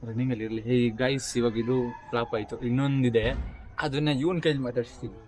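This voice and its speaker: A man's voice: medium pitch, fast. A young man hosting a casual vlog, teasing and talking straight to his viewers. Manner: teasing, addressing viewers directly. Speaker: vlogger, host.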